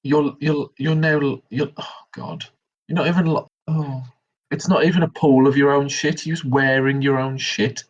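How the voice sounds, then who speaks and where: A man voicing an exasperated, disgusted adventurer in a tabletop roleplay game, halting and appalled. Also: mid-range voice, steady tone, pitched above the performer's own voice, lightly acted. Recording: dull, lo-fi game recording.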